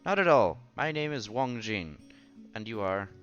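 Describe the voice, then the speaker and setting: A man acts out an effusive scholarly gentleman from a visual novel. He speaks formally and flatteringly, in a bright, forceful voice over music, with slightly dull sound. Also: lowish voice, unhurried, smooth. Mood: effusive, courteous. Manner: formal, polite, eager to flatter.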